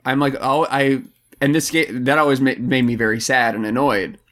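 A man's voice: mid-range voice, steady tone, normal speed, a bit bright. A man co-hosting a casual comedy chat, joking in a wry, dry way. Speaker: podcast co-host.

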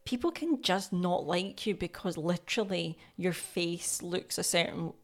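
A woman's voice: on the low side, a little slow. A woman with a Scottish accent co-hosting a podcast, talking in a chatty, reflective way and often chiming in with agreement. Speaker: podcast co-host. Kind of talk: casual business chat.

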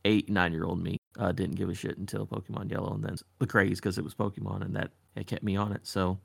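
A man's voice: sits low, flat delivery, quick pace, gravelly, fairly bright tone, husky, no echo. A man in his thirties chatting laid-back on a podcast, swearing casually. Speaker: podcast co-host. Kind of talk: casual chat.

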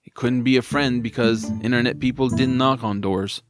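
A man narrates a scripted audio drama. His voice is mid-pitched and his delivery is expressive and dramatised. Music is clearly heard behind him. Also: moderate pace.

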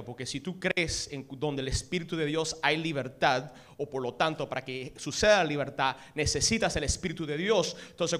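A man's voice: a little high, fairly bright tone. Fervent man preaching in a church. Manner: mixes in English words, intense rising prayer. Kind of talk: church sermon and prayer.

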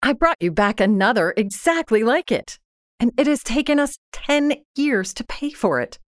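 Storybook role: plain-spoken, weary, proud housewife, earnest and lifted in pitch female reader performing. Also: expressive, moderate pace, dry recording, studio quality, lightly acted. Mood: proud, relieved. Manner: earnest, frank, emphatic. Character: careworn, plain-spoken, proud working-class wife.

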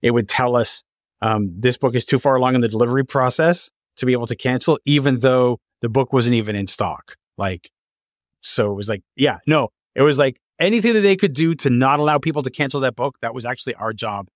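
A man speaks as a podcast interviewer in a loose, conversational style. His delivery is animated and rambling, with stammered, repeated words, and the sound is close and dry. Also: mid-range voice, brisk, dry recording.

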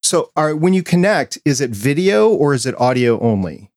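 A man's voice: fairly high pitch, sing-song, moderate pace, a little mellow, dry recording, clean studio sound. A man hosting a podcast, speaking conversationally as he interviews a guest.

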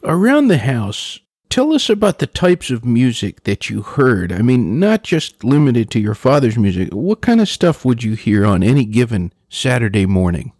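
Male interview host, mid-pitched, lively melodic delivery, conversational questioning. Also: normal speed, hardly any pauses, no room sound, clean studio sound.

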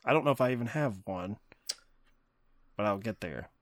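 A man's voice: lowish voice, lively intonation, moderate pace, no echo, studio quality. A man hosting a casual movie-review podcast, rambling wryly and laughing often. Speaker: podcast host.

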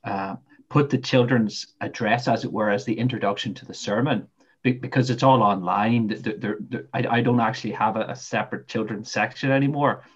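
A man with a Northern Irish accent hosting a podcast, with lively, laughing asides. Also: lowish voice, brisk, slightly rough, dull audio. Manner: warm, laughing, animated asides. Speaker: podcast host. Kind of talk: casual podcast chat.

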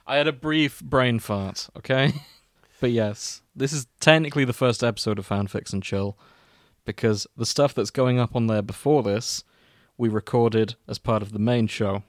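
A man hosts a podcast in a loose, comedic style. His voice sits at an average pitch and is recorded dry, with almost no room around it. He jokes easily and swears as he goes. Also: normal speed, no echo. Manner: joking, sweary. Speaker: podcast host. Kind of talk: casual comedic chat.

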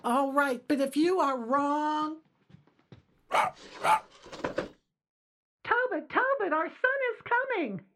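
A woman's voice: high-pitched, expressive, takes it slowly, pauses often, background noise. A woman playing a fretful, stubborn older mother and wife in an audio drama. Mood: overwhelmed.

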